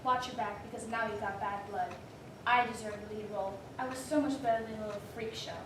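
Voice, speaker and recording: A woman's voice: fairly high pitch, fairly even intonation, fast talker, natural voice. A woman playing a smug, sarcastic teenage school bully with a taunting, mock-sweet delivery in a reader's theater performance. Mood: smug, menacing. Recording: distant mic in echoey room, faint noise.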